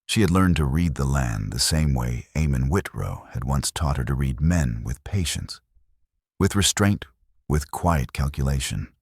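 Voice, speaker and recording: A man narrating a scripted western story in a measured, solemn, dramatic storytelling manner. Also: very deep voice, expressive, a little mellow, husky, no echo, studio quality.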